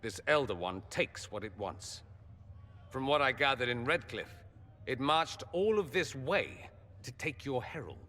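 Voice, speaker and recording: A man's voice: mid-range voice, sing-song, measured pace, sharp tone. An actor playing a dry-witted male mage who speaks in an arch, sardonic way, in a video game cutscene. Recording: long hall reverb.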